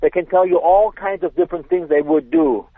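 Guest: German-accented man, fairly high voice, dry tinny phone sound, casual explainer. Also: a little fast, vocal fry, no echo. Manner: relaxed explaining, hesitant restarts. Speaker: self-defense instructor guest. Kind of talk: casual chat.

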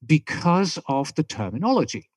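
A man's voice: fairly high pitch, normal speed, husky, no room sound, clean studio sound. Man with a mixed British-German accent. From Switzerland. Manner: relaxed storytelling, frequent restarts. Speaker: podcast guest. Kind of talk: casual interview chat.

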